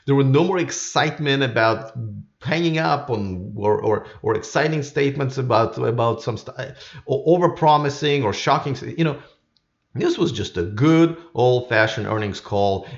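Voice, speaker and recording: A man's voice: mid-range voice, vocal fry, dull audio. A man hosting a live stream with casual, unscripted commentary, rambling and often repeating his words as he goes. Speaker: YouTube live stream host.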